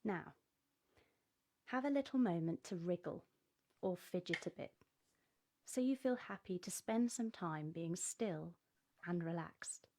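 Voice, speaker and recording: A woman hosting a guided relaxation for children, giving gentle, measured instructions step by step. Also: fairly low pitch, a little hiss or hum. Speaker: host of a children's relaxation program.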